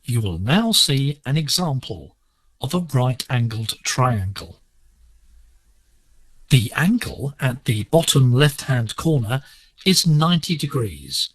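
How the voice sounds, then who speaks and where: A man presenting a scripted radio lesson in a measured, instructive way, heard over a digital shortwave broadcast. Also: mid-range voice, fairly bright tone, slightly rough, no echo. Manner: measured, didactic.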